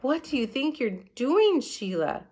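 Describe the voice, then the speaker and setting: A woman voicing a hot-tempered, blunt schoolboy in a children's story, challenging others loudly. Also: high voice, big pitch swings, a little slow, slightly rough, voice pushed up, full character voice. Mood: outraged.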